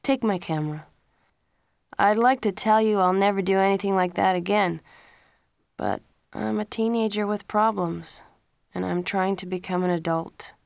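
A woman's voice: mid-range voice, moderate pace, creaky, no room sound, natural voice. Female reader voicing a remorseful teenage boy, earnest, dry close mic.

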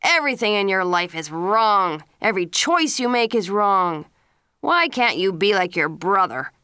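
Woman narrator voicing a furious police-chief father, loud and scolding. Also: mid-range voice, lively intonation, unhurried, smooth, dry recording, clean studio sound. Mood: angry.

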